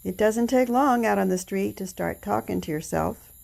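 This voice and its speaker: Casting: actress for eccentric female vagrant in a radio play, creaky voice, consoling, slightly cracked manner. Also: mid-range voice, moderate pace, darkish tone. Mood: knowing, dotty. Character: eccentric homeless woman who talks to herself. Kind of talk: audio drama acting.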